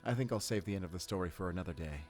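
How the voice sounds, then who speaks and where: An actor playing a man who tells a fairy tale in a measured, theatrical storytelling manner for an audio drama. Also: sits low, a little mellow, faint music behind, natural voice. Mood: soft, wistful. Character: man telling a fairy tale, fond and foreboding.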